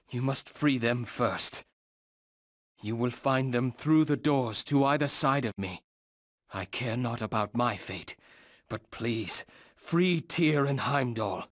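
An actor playing a proud, noble Norse warrior god in a video game, speaking formally and solemnly in archaic phrasing. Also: male voice, mid-range voice, no echo, clean studio sound, natural voice. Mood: pleading. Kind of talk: scripted fantasy game dialogue.

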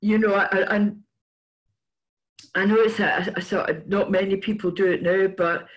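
An older woman with a mid-range voice hosts a conversational interview over a video call. She speaks haltingly, repeating small words as she shapes her questions. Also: mid-range voice, steady tone, muffled recording. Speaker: interview host. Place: indoors in a room at home, on a video call. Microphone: computer microphone on a video call.

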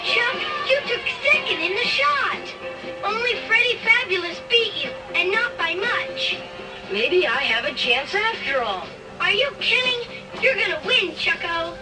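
Actor voicing a very high, lively cartoon kid. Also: measured pace, heavily acted, rough recording. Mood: excited. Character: children of a cartoon school sports story, eager, competitive. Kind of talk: cartoon read-along dialogue. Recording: old record playback with music.

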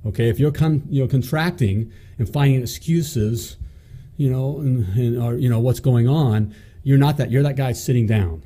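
Middle-aged man, host, emphatic motivational talk, very mellow dark voice, studio mic. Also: mid-range voice, normal speed. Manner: emphatic, peppered with "okay?" and "you know". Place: indoor studio set. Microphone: studio mic on a stand.

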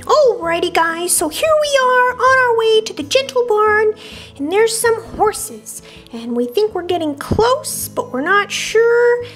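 Squeaky little lamb girl. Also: animated, measured pace, fairly bright tone, faint music behind, heavily acted. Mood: sweet, excited. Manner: chirpy, childlike, giggly. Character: cute excitable little lamb girl plush toy. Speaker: vlog host. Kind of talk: voice-over narration of a puppet travel vlog.

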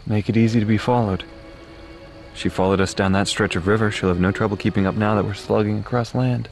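An actor playing a seasoned, dryly wry hunter in an audio drama, terse and matter-of-fact. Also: male voice, on the low side, steady tone, brisk, creaky, faint music behind. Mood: knowing, terse.

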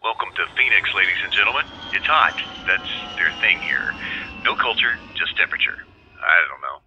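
An actor playing a deadpan airline announcer in a comic audio drama. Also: male voice, lowish voice, moderate pace. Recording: dull, slightly noisy cabin announcement.

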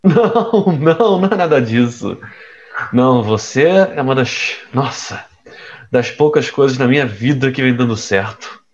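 A man's voice: mid-range voice, lively intonation, unhurried, dull audio, natural voice. A man playing a troubled, restless boyfriend in an audio drama, speaking hesitantly and evasively. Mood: earnest, restless. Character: troubled, restless man confiding in his girlfriend.

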